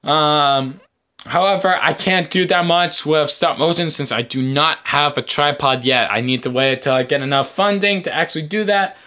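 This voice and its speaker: A man hosting a podcast, talking casually to his viewers in a rambling, halting way with long hesitant pauses. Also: fairly high pitch, sharp tone. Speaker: podcast host.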